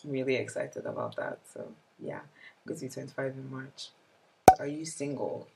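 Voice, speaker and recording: A woman's voice: extremely low pitch, normal speed, creaky, very noisy. A woman in her twenties chatting casually and reflectively to her vlog audience, with many "like" fillers. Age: 20s. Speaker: vlogger. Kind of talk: casual year-in-review chat.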